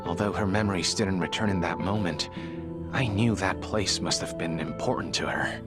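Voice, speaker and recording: Man playing deep-voiced, formal, guarded medical examiner. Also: close to an everyday voice. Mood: composed, formal. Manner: formal, measured diction, falters when pressed. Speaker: voice actor in a video game cutscene. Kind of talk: dramatic game dialogue. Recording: music behind the voice.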